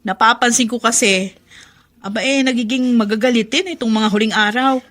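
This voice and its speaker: A woman's voice: on the high side, fairly quick, fairly bright tone, close to an everyday voice. A woman playing a caring, exasperated grown daughter in a radio drama, with a fretful, pleading manner. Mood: resigned. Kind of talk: Filipino radio drama acting.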